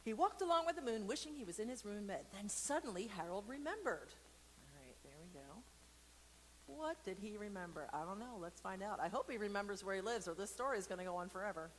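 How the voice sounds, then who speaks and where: Woman, mid-pitched, playful and encouraging children's storyteller with informal asides; long reverb on the recording. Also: fast. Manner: playful, expressive, with silly sound effects and asides; informal and encouraging, talking straight to viewers. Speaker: storyteller and church program host. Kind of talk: casual read-aloud for kids with chatty asides.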